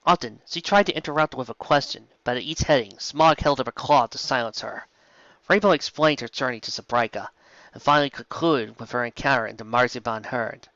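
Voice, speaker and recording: Mid-pitched male narrator, dry dull recording. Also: brisk, a bit bright, no room sound. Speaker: amateur audiobook narrator. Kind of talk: scripted story narration, live reading.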